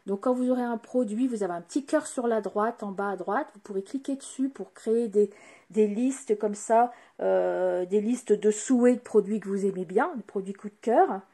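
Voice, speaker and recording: A woman presenting craft products in a casual, hesitant way, pausing often with fillers while showing items to the camera. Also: mid-range voice, warm tone.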